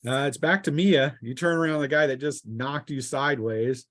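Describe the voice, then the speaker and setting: A man working as game master, narrating and refereeing a tabletop role-playing game in a halting way full of fillers. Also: medium pitch, fairly bright tone.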